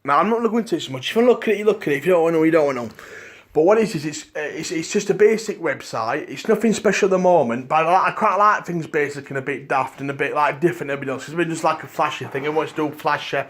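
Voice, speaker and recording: Rambling croaky Liverpool man. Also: on the high side, racing, fairly bright tone. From England. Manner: rambling, restarting sentences often. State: dry croaky voice. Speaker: podcast host. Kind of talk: rambling solo podcast.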